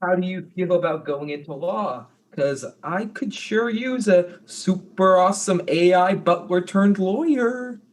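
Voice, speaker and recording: A man's voice: high voice, a little slow, hardly any pauses. A man playing a naive, affectionate, goofy space butler with a hesitant, earnest manner in an audio comedy. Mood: hopeful, sheepish. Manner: hesitant, earnest, dim but sweet. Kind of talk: comedic audio drama acting.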